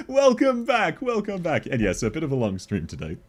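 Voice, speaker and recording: A man's voice: sits high, wildly swooping pitch, a little slow, breathy edge. A man with an Australian accent, chatting casually with viewers on a game livestream, laughing often as he answers chat. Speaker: game streamer.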